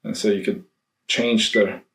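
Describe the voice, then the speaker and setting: A man giving a casual, hands-on product review, thinking aloud in a halting way. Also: lowish voice, fairly even intonation. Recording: roomy echo, faint background noise.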